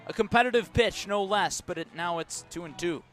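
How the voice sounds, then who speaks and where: A man's voice: high voice, a little fast, a bit bright, faint music behind. A man doing live baseball play-by-play on the radio in clipped, descriptive phrases that build with the action, outdoors at a ballpark. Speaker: play-by-play sports announcer.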